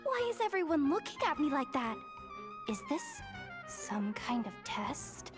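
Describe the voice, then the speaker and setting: A very high, animated young female underling from a video game, eager to please and easily rattled. She stammers nervously and swings freely in pitch, with clear music behind her. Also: unhurried. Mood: confused, anxious.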